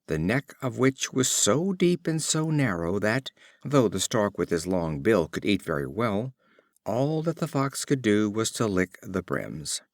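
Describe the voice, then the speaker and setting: A low-pitched man narrates old fables like an audiobook reader. His intonation moves in lively swings, with expressive, measured phrasing. The sound is close and dry. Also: no echo.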